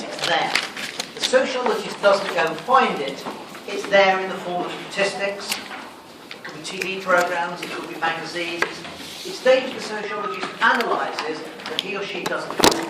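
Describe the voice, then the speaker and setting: A man giving a classroom revision lecture, explaining in a didactic way and answering his own questions. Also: sits high. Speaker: sociology lecturer. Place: lecture room, recorded from a distance. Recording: echoey room, distant mic, noisy.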